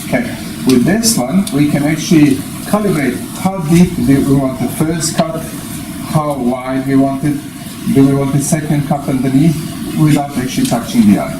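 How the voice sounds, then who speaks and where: A man in his fifties giving an explanatory lecture in a deliberate, step-by-step way, presenting from a lectern. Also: mid-range voice. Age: 50s. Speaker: presenter, eye surgery specialist. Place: small indoor meeting room at a lectern. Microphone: distant camera microphone. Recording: echoey, noisy room, distant microphone.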